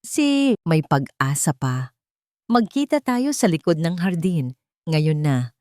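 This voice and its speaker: A woman's voice: sits low, lively intonation, takes it slowly, a little mellow, no echo. A timid household maid from an audio story, acted out by a woman narrator. She pleads hesitantly, weighed down by guilt yet hopeful. The sound is close and dry. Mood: urgent, hopeful.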